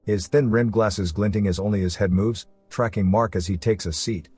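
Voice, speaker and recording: Guy narrating a suspense audio drama, low voice, soft music underneath. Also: fairly even intonation, moderate pace. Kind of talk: scripted dramatic story narration. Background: faint music.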